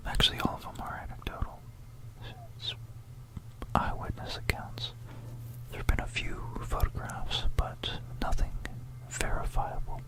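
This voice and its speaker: An ASMR host narrating an educational piece in a hushed, breathy, unvoiced whisper, unhurried and conspiratorial, close to a stereo microphone. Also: poor audio. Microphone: close stereo binaural microphone.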